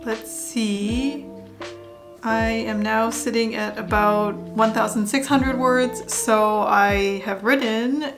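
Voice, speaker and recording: A woman vlogger talking casually to camera, musing and thinking aloud about her writing. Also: mid-range voice. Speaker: vlogger and writer. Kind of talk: casual vlog chat to camera.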